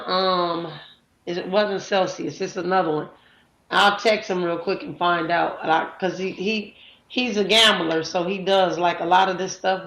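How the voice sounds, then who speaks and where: A woman's voice: fairly low pitch, a bit bright, reverberant, dull audio. A woman hosting a live audio chat room, talking casually with many fillers and introducing guests. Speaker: host.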